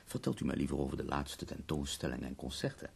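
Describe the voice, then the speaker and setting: Very deep, gravelly dying poet. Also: male voice, big pitch swings, fast, heavily acted. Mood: macabre, wry. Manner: theatrical, ornate, ironic phrasing. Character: bedridden dying male poet, wry, morbid, eloquent. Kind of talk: radio drama acting.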